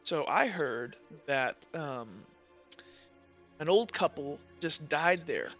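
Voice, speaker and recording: A man's voice: a little high, lively intonation, slow, fairly bright tone, muffled recording, soft background music, full character voice. A man voicing a brusque but helpful woman shopkeeper in a tabletop game, with hesitant, informal phrasing. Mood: helpful, confiding.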